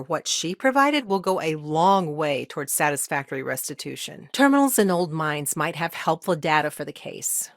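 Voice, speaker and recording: Game role: pragmatic female lawyer on a mining colony. Lowish voice, businesslike and frank, dry close studio sound. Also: dry recording. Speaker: video game character, lawyer. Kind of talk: conversational video game dialogue acting.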